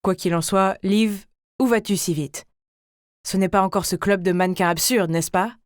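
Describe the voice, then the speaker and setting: Woman as fussy female relative, medium pitch, emphatic, bossy, cartoon dialogue, very dry recording. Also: normal speed, no echo, natural voice. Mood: disapproving. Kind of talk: acted story dialogue.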